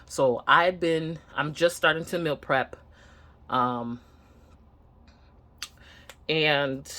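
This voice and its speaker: A woman's voice: deep voice, fairly bright tone. Candid woman with a Nigerian accent. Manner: earnest, candid, hesitant. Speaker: vlogger. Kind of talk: casual personal update to camera. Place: home room.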